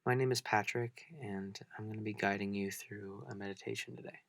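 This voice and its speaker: A man leading a beginners' guided meditation, speaking gently and unhurriedly with long pauses. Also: on the low side, fairly even intonation, gravelly, no echo.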